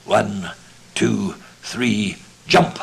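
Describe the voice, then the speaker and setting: A man's voice: fairly low pitch, fairly even intonation, extremely slow, creaky, husky, exaggerated voice. A man voicing an easygoing, boastful frog gambler from an Old West tale, with a folksy American accent. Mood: brisk, eager. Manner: easy, careless, folksy. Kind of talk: Old West storybook reading.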